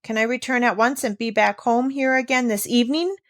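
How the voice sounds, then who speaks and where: A woman's voice: fairly high pitch, fairly quick, fairly bright tone, voice pushed up, close to an everyday voice. A woman voicing an eager, stubborn, innocent little girl in a storybook. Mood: eager, earnest.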